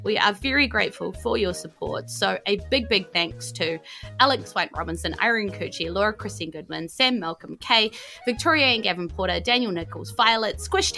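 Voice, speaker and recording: A woman with a New Zealand accent, hosting and narrating a tabletop game podcast in a playful, expressive, enthusiastic way. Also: medium pitch. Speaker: game master and podcast host.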